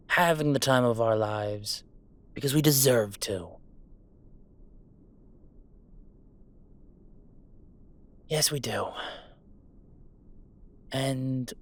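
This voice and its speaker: A man's voice: mid-range voice, a bit bright. A man playing a goofy young werewolf streamer in an intimate roleplay audio drama, talking in casual, mock-grandiose banter with small hesitations. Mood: tender, earnest, then defiant, resolute. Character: goofy young male werewolf streamer, playful, defiant about family. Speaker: game streamer.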